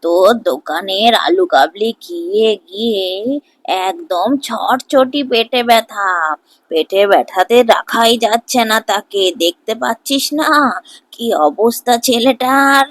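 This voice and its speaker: A woman's voice: medium pitch, unhurried, natural voice. A woman playing a fierce, protective grandmother in a cartoon, scolding and threatening. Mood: angry, alarmed. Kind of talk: Bengali cartoon morality tale acting.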